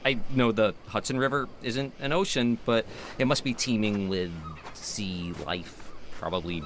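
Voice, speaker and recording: A man's voice: lowish voice, unhurried, slight background noise, natural voice. An actor playing an eager, talkative young city man in a naturalistic audio drama. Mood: upbeat, eager. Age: young adult.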